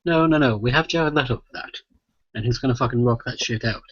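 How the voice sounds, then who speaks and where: A man's voice: mid-range voice, quick pace, a bit bright, dry recording, highs cut off. A man hosting a casual, sweary podcast in a playful, jokey way. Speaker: podcast host.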